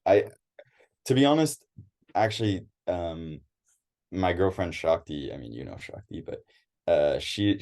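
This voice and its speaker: Young man, very deep voice, dark and mellow tone, American accent, halting casual chat. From United States. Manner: hesitant, many restarts and fillers. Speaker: interview guest.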